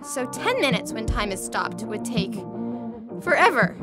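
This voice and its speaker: A sassy, high-voiced alien fashionista. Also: female voice, very animated, a little slow, loud music behind the voice. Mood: puzzled, exasperated. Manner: sassy, petulant, exclamatory. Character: vain, flippant young female shapeshifting alien fashionista. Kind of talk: audio theatre acting.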